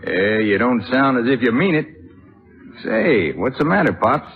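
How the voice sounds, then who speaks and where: Cocky young musician, eager, informal, wildly swooping pitch, 1950s radio drama, dull recording. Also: male voice, big pitch swings, moderate pace, gravelly. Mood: eager, insistent. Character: brash, eager young male trumpet player.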